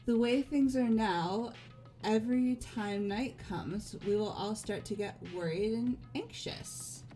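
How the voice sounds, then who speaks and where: Woman doing a fan dub, super calm and measured, soft music underneath. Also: mid-range voice. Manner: calm, measured, composed delivery. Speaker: player voicing a character. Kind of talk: fan voice acting of a game script. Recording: faint music behind. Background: faint game music.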